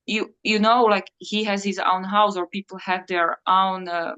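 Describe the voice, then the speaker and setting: A woman whose first language is Slovak, talking in a reflective interview and often pausing and searching for words. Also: medium pitch, steady tone, pushed and bright, clear, resonant tone, dull audio. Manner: halting, searching for words, many fillers. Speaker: interview guest.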